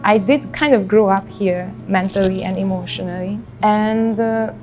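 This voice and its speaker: Woman, thirties, Hungarian accent, mid-pitched voice, reflective unhurried interview talk, soft music behind. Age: 30s. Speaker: interviewee in a portrait documentary. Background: background music.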